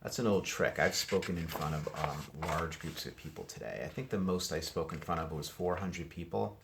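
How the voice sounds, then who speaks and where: A man's voice: rumbling low, moderate pace, vocal fry, a bit bright, a little hiss or hum. A man working as a teacher, reading a picture book to young children and commenting casually in simple explanations. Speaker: teacher reading aloud to first grade class.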